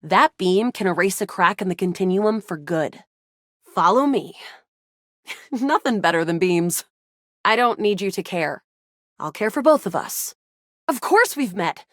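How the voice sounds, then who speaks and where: A woman plays a feisty video game heroine with a sardonic, slangy edge and a loyal streak. Her delivery swings freely in pitch, and the sound is close and dry. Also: lively intonation, measured pace, long pauses, no echo, close to an everyday voice. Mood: confident, wry. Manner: casual, wry, slangy. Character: feisty, sardonic sci-fi gamer heroine, loyal but guarded. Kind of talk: video game character acting.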